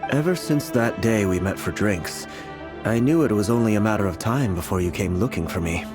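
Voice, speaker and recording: An actor playing a calm, wise, guarded prophet in a fantasy video game, speaking in a grave, measured and formal manner. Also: male voice, fairly low pitch, natural voice. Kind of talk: dramatic video game voice acting. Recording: background music under the voice.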